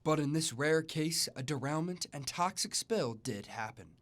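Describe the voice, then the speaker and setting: Higher-pitched young man reporting news. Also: animated, normal speed. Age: young adult. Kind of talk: scripted broadcast news narration.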